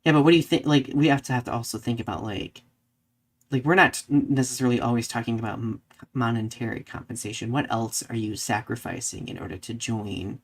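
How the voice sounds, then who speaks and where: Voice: mid-range voice, fairly quick, vocal fry. A podcast co-host talking in a casual, conversational way, often dropping in "like" while thinking aloud. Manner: conversational, peppered with "like". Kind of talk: casual discussion, reading out live comments.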